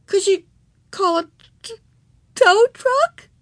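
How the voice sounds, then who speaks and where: A woman's voice: very high-pitched, animated, unhurried, a bit bright, voice pushed up, full character voice. A woman voicing a small kindergarten girl, tearful, stubborn and earnest, with a whiny, wailing delivery in a storybook reading. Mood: tearful, hopeful.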